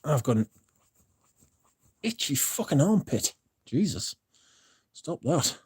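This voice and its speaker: A man's voice: fairly high pitch, sing-song, takes it slowly, darkish tone, breathy edge, no echo. A tired man, chatting casually and rambling on a livestream, swearing often and restarting sentences. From Britain. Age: 30s. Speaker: streamer. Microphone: phone.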